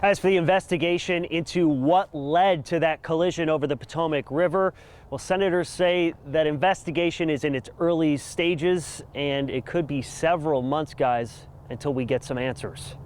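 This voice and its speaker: A man's voice: fairly high pitch, normal speed, a little mellow, a little hiss or hum. A man giving a live news report as a field reporter, speaking outdoors. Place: outdoors near a river.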